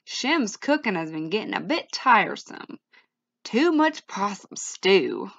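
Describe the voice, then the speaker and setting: A woman's voice: mid-range voice, very animated, takes it slowly, gravelly, fairly bright tone, highs cut off, exaggerated voice. A woman voicing a friendly, folksy backwoods bear man in a storybook, with hearty country talk. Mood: hearty, neighborly.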